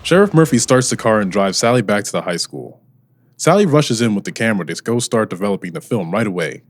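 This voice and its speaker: A man's voice: on the low side, very animated, quick pace, slight background noise. A man narrating an audio drama as a scripted storyteller. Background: music.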